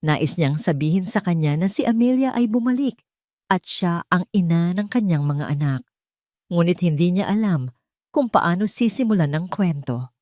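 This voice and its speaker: A woman storyteller reads a scripted romance drama. Her voice sits somewhat low, and the recording is close and dry, with no room around it. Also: animated, moderate pace, mellow, no echo. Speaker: story narrator.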